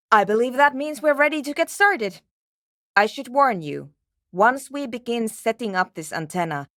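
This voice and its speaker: Dry, brisk programmer woman, very animated pitch. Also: dry recording. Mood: businesslike. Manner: dry, precise, curt, technical phrasing. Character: brisk, matter-of-fact female programmer and radio tinkerer. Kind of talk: video game character dialogue.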